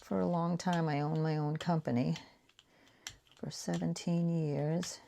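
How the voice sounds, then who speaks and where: A woman in her sixties with a light Boston accent, chatting casually and rambling as she makes art prints, dropping into soft whispered asides while she works. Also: sits low, fairly even intonation, measured pace, vocal fry, a little hiss or hum. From New England, United States. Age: 60s. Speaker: artist and craft vlogger.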